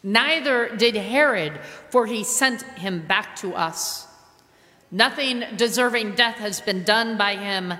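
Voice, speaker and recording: Roman governor, slow and bright, long church echo. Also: female voice, medium pitch. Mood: measured. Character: male Roman governor, reluctant judge. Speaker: liturgy reader. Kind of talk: liturgical reading. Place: large reverberant church.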